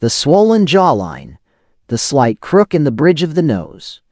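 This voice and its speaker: Man narrating a thriller, swooping dramatic pitch. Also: wildly swooping pitch, measured pace, darkish tone, no room sound, clean studio sound. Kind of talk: first-person scripted thriller narration.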